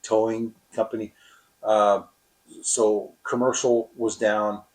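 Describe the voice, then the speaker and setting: Halting, deliberate man being interviewed. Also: on the low side, flat delivery, darkish tone, low-quality recording. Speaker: radio operator being interviewed. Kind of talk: interview explanation and anecdote.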